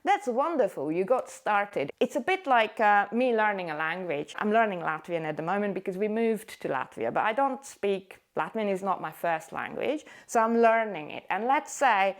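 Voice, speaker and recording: Woman, 40s, upbeat fitness coach, encouraging tone, mid-pitched voice. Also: animated, moderate pace, hardly any pauses. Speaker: hula hoop fitness instructor. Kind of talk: motivational talk to camera.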